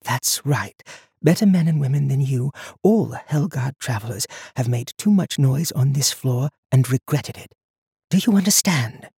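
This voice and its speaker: Man voicing a hushed, commanding woman, slightly raised pitch. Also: sing-song, brisk, hardly any pauses, husky, no echo, clean studio sound, full character voice. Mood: hushed stern. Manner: hushed, firm, controlled. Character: composed, wary, commanding female traveler. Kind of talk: audiobook character acting.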